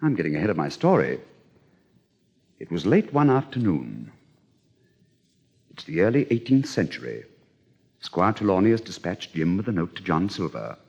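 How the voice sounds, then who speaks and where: Male narrator: low, raspy, lively dramatic storytelling, slightly muffled vintage record. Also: moderate pace, pauses often. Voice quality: deep, husky. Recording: slightly dull old record.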